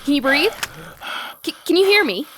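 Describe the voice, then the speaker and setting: A woman's voice: high-pitched, big pitch swings, moderate pace, fairly bright tone, dry recording. A woman playing an earnest, anxious scientist who gives urgent, commanding instructions in an audio drama. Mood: worried. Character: earnest, urgent young scientist coaching a trapped friend.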